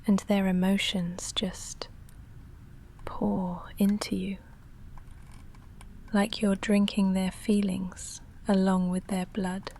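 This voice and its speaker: A woman playing a shy, lovestruck vampire in an intimate ASMR roleplay, speaking softly and breathily with halting, confessional hesitation, often in a whisper. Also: mid-range voice, level tone. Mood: hushed longing. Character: shy lovestruck female vampire roommate, confessing and self-restrained.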